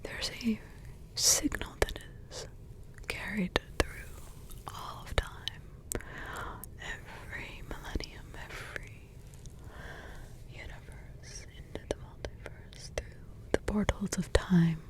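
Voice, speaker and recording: A woman's voice: mid-range voice, fairly even intonation, lightly acted. Woman doing a whispering old forest witch. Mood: hushed musing. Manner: rambling, halting whispers with many fillers. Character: old forest witch, grumbling yet doting mother and grandmother. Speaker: ASMR roleplay creator. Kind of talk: ASMR roleplay whispering.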